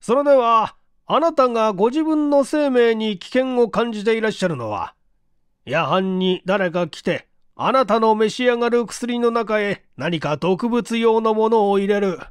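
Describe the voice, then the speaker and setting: A polite, probing male investigator, played by a male reader in a mystery audiobook. His voice is lifted and higher, with courteous, formal questioning. The recording is dry. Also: lively intonation, a little slow, clear, resonant tone, dry recording. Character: polite, probing male detective agency chief. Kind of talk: dramatic audiobook character reading.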